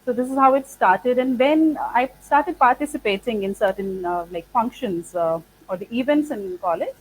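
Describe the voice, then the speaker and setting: A woman's voice: a little high, expressive, normal speed, a little hiss or hum, low-quality recording. A woman whose first language is Punjabi, a spoken English trainer, answering interview questions thoughtfully in English with many fillers like "like" and "uh". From India. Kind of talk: interview conversation.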